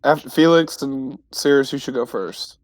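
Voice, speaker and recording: A man's voice: medium pitch, measured pace. A man playing a tabletop game, casually describing what his character does and chatting with the group.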